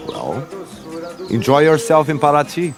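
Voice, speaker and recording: An actor playing a suspicious boss in a radio drama, speaking tersely. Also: male voice, a little high, big pitch swings, unhurried. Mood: friendly parting. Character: suspicious Brazilian man hiring an investigator. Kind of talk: naturalistic radio drama dialogue. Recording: radio play with music underneath.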